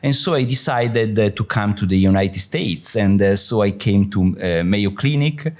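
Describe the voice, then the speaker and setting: A middle-aged man with an Italian accent, giving a conference panel talk as a surgeon, halting with many filler sounds. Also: on the low side, expressive, darkish tone. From Italy.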